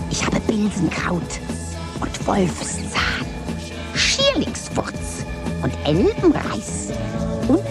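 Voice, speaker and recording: An actress playing an eccentric, scheming witch who mutters over her potions in a radio play, her voice lurching from low grumbles to shrill highs. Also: heavily acted. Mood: gloating. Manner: muttering, swooping wildly in pitch, cackling.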